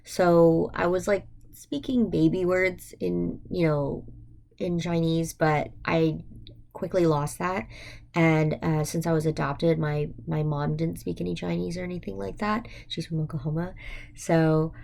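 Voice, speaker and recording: Young woman, American accent, low voice, heavy vocal fry, casual student monologue, hesitant, filler-heavy. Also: steady tone, measured pace, a little mellow. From United States. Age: young adult. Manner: hesitant, thinking aloud, many "like" fillers. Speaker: university student. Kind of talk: informal reflective monologue for a class.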